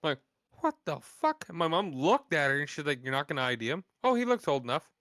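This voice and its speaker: A man in his thirties with a bright, forceful voice, swearing freely as he rambles through stories in casual chat. The sound is close and dry, with no room around it. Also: fairly high pitch, very animated, fast, no echo. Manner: heavy swearing, loose storytelling. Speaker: podcast co-host.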